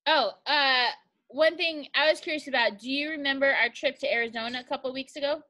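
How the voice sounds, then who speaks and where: A woman leads a casual interview at home and explains things in a friendly way. Her voice is bright and a little high, and she laughs easily. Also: a little fast, rough recording.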